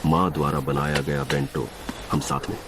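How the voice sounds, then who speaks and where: A deep, brooding young fighter. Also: male voice, fairly even intonation, normal speed. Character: brooding young male fighter, quiet and guarded. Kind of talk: dubbed video game cutscene. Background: loud game music.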